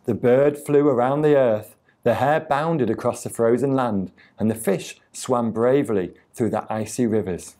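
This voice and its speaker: A man reading a children's tale aloud as a storyteller, with deliberate, expressive delivery. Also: medium pitch. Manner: deliberate, expressive reading with acted sound effects.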